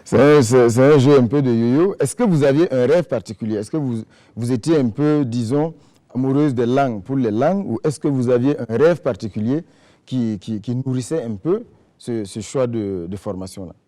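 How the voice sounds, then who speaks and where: Mellow-voiced male TV talk show host. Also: mid-range voice, normal speed. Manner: formal, wordy questions with fillers. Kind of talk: formal broadcast interviewing.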